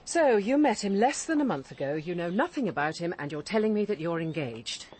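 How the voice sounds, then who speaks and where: A woman's voice: lowish voice, very animated, fairly quick, a little mellow, a little hiss or hum. An actress with an English accent playing a middle-aged, stern female barrister and cold mother in a radio drama, articulate, caustic and controlled. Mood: cold, scornful.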